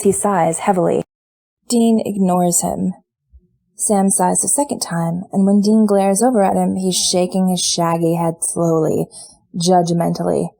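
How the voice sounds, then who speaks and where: Woman reader, audiobook narration, low mellow tone, relaxed and chatty. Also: gravelly. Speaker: fan fiction reader.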